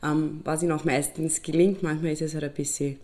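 A female actor plays a chatty Austrian woman who teases and insists with amusement. Her pitch is a little low and her talk is casual, like relaxed conversation in a radio play. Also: fairly quick, natural voice. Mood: amused, fond. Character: chatty, teasing woman contradicting her partner. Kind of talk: casual improvised-sounding radio play chat.

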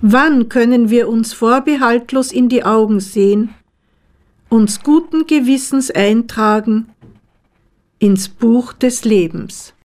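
Slow, higher-voiced woman reading poetry. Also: a little mellow. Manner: measured, deliberate delivery with pauses between short phrases. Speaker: poet, author reading own work.

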